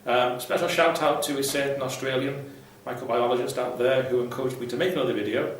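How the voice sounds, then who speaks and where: A man's voice: medium pitch, quick pace. A man giving casual, unscripted advice to viewers in a blunt, irreverent, sweary way. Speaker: vlogger, ex-Jehovah's Witness advice host. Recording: very echoey room, distant mic, faint noise.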